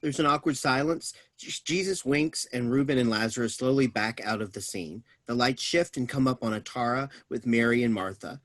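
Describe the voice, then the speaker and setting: Mid-pitched man reading stage directions. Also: normal speed, few pauses, a bit bright. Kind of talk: scripted narration at a staged play reading.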